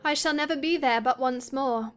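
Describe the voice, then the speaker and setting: Woman acting a sickly young wife in an audiobook drama, wistful, high voice, soft music behind. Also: normal speed, clear, resonant tone, natural voice. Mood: melancholy. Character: frail invalid young wife, wistful and melancholy.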